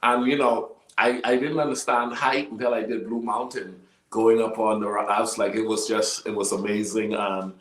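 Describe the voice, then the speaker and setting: A man's voice: lowish voice, fairly even intonation, moderate pace, few pauses, a bit bright. A man hosting a talk show in a conversational, emphatic way, often repeating words, with an echoing sound. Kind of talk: conversational interviewing.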